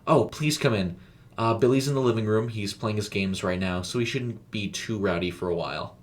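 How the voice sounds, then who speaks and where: A man's voice: fairly low pitch, lightly acted. A man voicing a tired, harried, grateful suburban mother in an audio storybook, polite and hesitant. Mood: frantic, distracted. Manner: hurried, hesitant, apologetically polite.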